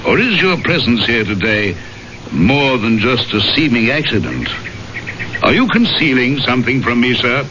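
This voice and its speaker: A man's voice: medium pitch, sing-song, normal speed, bright, forceful tone. A man playing a big English baronet in a radio drama, suave and genial with menace beneath. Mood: smooth, suspicious. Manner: suave, genial, pointedly probing. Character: huge, vigorous English baronet, charming yet menacing. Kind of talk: 1970s radio drama acting. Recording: muffled old radio broadcast, faint noise.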